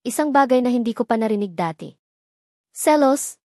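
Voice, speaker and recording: A Filipino woman tells a scripted romance story in the first person. Her voice sits fairly high, and the recording is dry and close, with very little room sound. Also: lively intonation, moderate pace, warm tone, dry recording.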